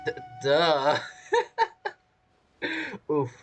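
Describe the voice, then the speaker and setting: Playful male streamer with animated pitch. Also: drawn-out words, soft background music. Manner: playful, giggly, reactive. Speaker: game streamer. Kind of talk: casual live gameplay commentary. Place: indoors at a streaming setup.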